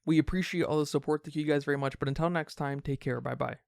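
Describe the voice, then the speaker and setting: A man's voice: a little high, steady tone, fast talker, gravelly, a little mellow, clean tone, no echo, studio quality. A man hosting a film review podcast in a casual, conversational way. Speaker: podcast host.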